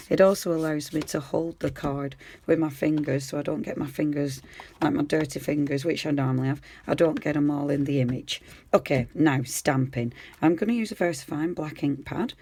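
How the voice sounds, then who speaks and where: A woman with a croaky voice, chatting easily through a hands-on craft tutorial as she works. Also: low voice, darkish tone. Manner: chatty, easygoing, talks while working. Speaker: craft demonstrator.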